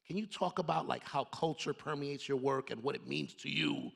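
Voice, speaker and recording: Gravelly, slangy New moderator. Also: male voice, mid-range voice, normal speed. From Harlem, New York, USA. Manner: street slang, "yo" and "man" constantly. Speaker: moderator, marketing leader. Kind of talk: casual stage conversation. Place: stage panel before a live audience.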